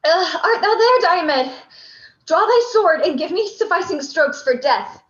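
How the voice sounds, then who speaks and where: A dying, noble warrior lord played by a woman in a Shakespearean radio play. The voice is high and measured, with slow, weighty phrasing. The sound is slightly dull and lo-fi. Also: lively intonation, fairly bright tone, heavily acted. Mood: pained, urgent.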